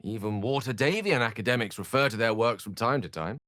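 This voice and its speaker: A man's voice: mid-range voice, brisk, fairly bright tone, no echo, clean studio sound, natural voice. A male actor playing an erudite, wry wizard in a fantasy video game, articulate and theatrical, with a British accent and a streak of melancholy. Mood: scholarly, musing.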